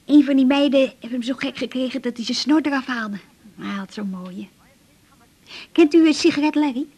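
A lovestruck, slightly resigned woman patient in a radio play. She speaks in a fairly high, husky voice, confiding in a chatty yet hesitant way. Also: normal speed, darkish tone. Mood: fond, confiding. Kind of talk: naturalistic radio drama acting.

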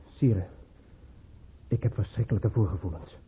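An actor playing an anxious, dutiful crown prince in a radio play, speaking formally and deferentially. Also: male voice, fairly low pitch, a little slow, slight background noise, lightly acted. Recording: tinny, muffled old radio recording.

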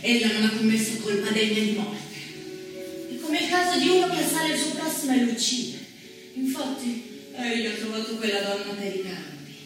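An actress playing a solemn female narrator, reciting scripture and law in a deliberate, declamatory theatrical style. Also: on the high side, expressive, recorded from a distance, natural voice. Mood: solemn, stern. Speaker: stage actress. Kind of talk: theatrical dramatic recitation of documentary texts. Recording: very echoey stage from afar, music.

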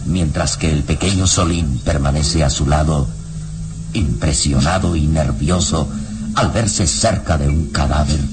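A man narrating a scripted radio serial in a grave, solemn, deliberate dramatic style. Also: extremely low pitch, heavy background noise. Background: dramatic background music.

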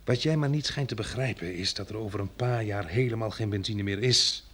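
A man's voice: lowish voice, brisk, fairly bright tone. Een man die in een hoorspel een vermoeide, prikkelbare overlever op een belegerde boerderij speelt, met een rauwe, hese stem. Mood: stern, irritable. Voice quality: gravelly, husky. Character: weary, irritable male survivor running a besieged farmstead. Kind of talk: radio drama acting with diary narration.

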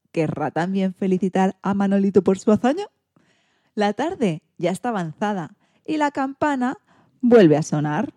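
A woman reading a children's storybook in an expressive, theatrical way. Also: medium pitch, dry recording, clean studio sound. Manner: theatrical, acts out sound effects and exclamations.

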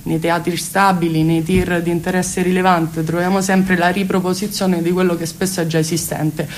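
A woman's voice: lowish voice, level tone, normal speed, slight background noise. A woman speaks as an interview guest, explaining earnestly and at length with hesitant phrasing. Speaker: guest, cultural space activist. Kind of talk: spoken radio interview, explanatory.

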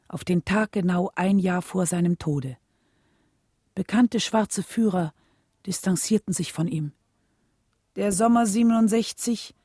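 A mellow, husky, solemn wife. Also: female voice, mid-range voice, no room sound, studio quality. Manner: solemn, restrained, clearly phrased storytelling. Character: composed, grieving wife of a civil rights leader. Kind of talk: scripted radio drama narration and dialogue.

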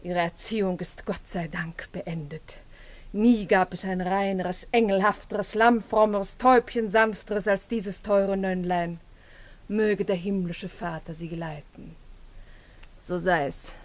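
An actress performs a pious, starchy female governess in a radio play. Her medium-pitched voice is haughty and scolding. The delivery is stagey and theatrical. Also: steady tone, measured pace, natural voice.

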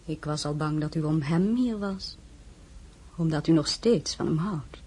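An actress playing a worldly, mature French empress, vain and shrewd, with a languid, coaxing delivery in an audio drama. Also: female voice, low-pitched, unhurried, darkish tone, husky. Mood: frank, coaxing. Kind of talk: acted audio drama dialogue.

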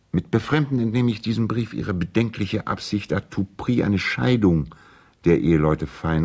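An actor playing an earnest, conciliatory lawyer in a 1970s radio play, reading a formal letter in a deliberate, gently reproving way. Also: male voice, very deep voice, husky, heavily acted. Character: measured, conciliatory lawyer and loyal family friend, gently reproving.

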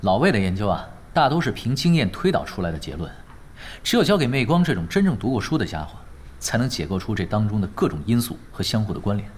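An actor playing a laid-back young male pro gamer in a radio drama, talking in an unhurried, nonchalant, teasing way. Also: fairly low pitch, big pitch swings, slight background noise. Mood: matter-of-fact. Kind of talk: Chinese radio drama acting.

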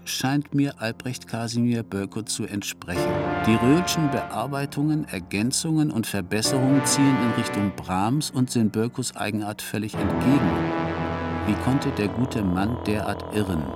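An actor playing a formal, courteous and slightly fussy music correspondent, reading letters aloud in a radio play. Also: male voice, fairly low pitch, normal speed, natural voice. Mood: critical, formal.

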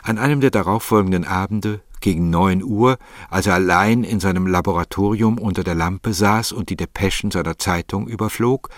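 A man's voice: deep voice, moderate pace, few pauses, no room sound, studio quality. A man narrating a literary story as scripted narration.

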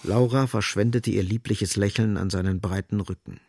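Gravelly, lowish male radio drama narrator. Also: steady tone, moderate pace, a little mellow, no room sound, studio quality.

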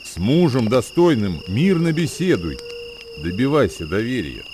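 A man performing a solemn, measured dramatic recitation of old proverbs as a radio actor. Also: medium pitch, sing-song, noisy background. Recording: music behind the voice. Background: music.

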